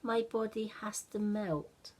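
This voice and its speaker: Lady being a gentle storybook snowman, very slow, patient, kinda wistful. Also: mid-range voice. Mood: sad, resigned.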